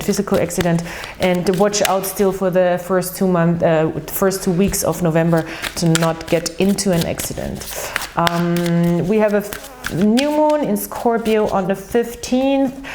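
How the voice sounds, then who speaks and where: A woman's voice: lowish voice, a little mellow, slight background noise. A woman from Austria with an Austrian German accent, her first language German, giving a casual monthly tarot and astrology reading in a chatty, rambling way with many ums and restarts. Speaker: tarot reader.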